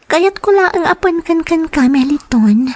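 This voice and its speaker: A woman's voice: very high-pitched, lively intonation, measured pace, a little mellow, voice pushed up. A woman voicing a clingy, demanding young mistress with a pleading, wheedling manner in a melodramatic audio drama. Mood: pleading, tender.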